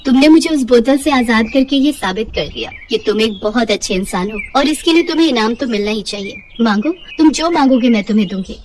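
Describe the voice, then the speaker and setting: A woman's voice: fairly high pitch, lively intonation, brisk, hardly any pauses, darkish tone, recorded from a distance, a little hiss or hum, close to an everyday voice. A woman voicing a kindly magical fairy who grants wishes, gracious and reassuring, in a moral story. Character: kindly magical wish-granting female fairy, calm and generous. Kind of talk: acted Hindi moral storytelling.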